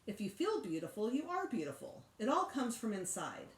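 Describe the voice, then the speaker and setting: A woman reading a storybook and voicing a patient, reassuring mother who calmly explains things. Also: fairly high pitch, fairly quick. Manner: calm, explaining. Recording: roomy echo.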